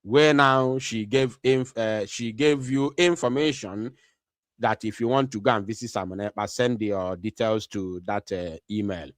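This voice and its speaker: A man with a Nigerian English accent gives a commentary monologue to his viewers. He talks emphatically, repeats his phrases and often pauses on fillers. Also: lowish voice, no echo, studio quality. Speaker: online channel host.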